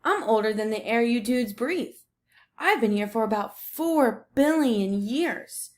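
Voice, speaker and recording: The ocean talks as a chilled-out, bragging surfer dude voiced by a woman reading a picture book. The voice sits a little high. The delivery is slangy and breezy, full of easygoing pride. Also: animated, unhurried. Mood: proud, laid-back. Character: the ocean as a laid-back, boastful surfer dude. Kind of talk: picture book read aloud.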